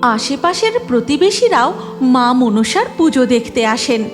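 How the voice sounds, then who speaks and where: A woman's voice: sits high, big pitch swings, a little slow, music in the background. A woman giving scripted narration of a cartoon moral story, in a reverberant recording.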